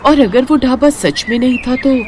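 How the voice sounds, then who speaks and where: A woman narrating a scripted story in an expressive storytelling manner. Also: high-pitched, normal speed, background noise.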